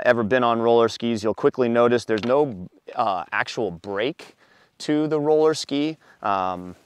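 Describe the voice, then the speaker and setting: A man in his thirties, an endurance coach, explaining roller ski gear outdoors by a forest road, relaxed, with frequent fillers. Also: on the low side, clear, resonant tone, dry recording. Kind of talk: outdoor equipment tutorial.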